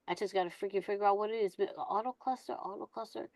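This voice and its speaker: A woman's voice: mid-range voice, fast, vocal fry, darkish tone, dry recording. A woman hosting a casual online group discussion in an informal, colloquial way.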